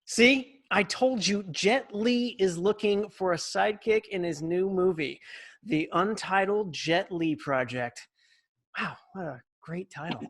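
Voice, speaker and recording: Woman playing a slow-talking cocky little boy. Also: mid-range voice, slightly rough. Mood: cocky, eager. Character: cocky, eager ten-year-old boy. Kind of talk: comedic table read over video call.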